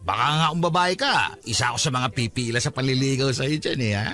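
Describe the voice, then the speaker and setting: A man's voice: medium pitch, quick pace, sharp tone, faint music behind, close to an everyday voice. An actor playing a teasing young man, a devoted mama's boy, in Filipino radio drama style.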